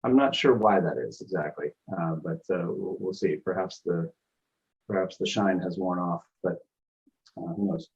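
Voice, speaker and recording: A tired man talking as a guest on a video call, halting with many fillers and restarts. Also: low voice, darkish tone, slightly rough. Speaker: guest producing live events. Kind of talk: casual video call chat. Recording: dull lo-fi call audio.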